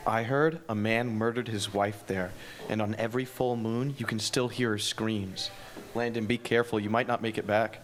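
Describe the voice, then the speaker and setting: A man reading a teasing teenage boy at a live table read, passing on spooky rumors in a deadpan way. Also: on the low side, moderate pace, close to an everyday voice. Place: live venue stage.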